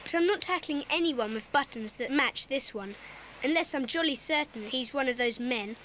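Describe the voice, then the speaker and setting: A woman playing a bossy, earnest schoolchild who leads a secret club in a children's audio drama, speaking briskly and commandingly. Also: high-pitched, lightly acted. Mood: brisk, organising. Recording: faint background noise, cassette audio drama.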